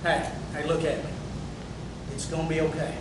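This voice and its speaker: Casting: male actor as ashamed working-class husband and father, brooding and anguished, high male voice, recorded far from the mic. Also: flat delivery, moderate pace, close to an everyday voice. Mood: tender, reluctant. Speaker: actor at a play table reading. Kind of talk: staged dramatic reading. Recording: echoey room from far off, noisy rumble.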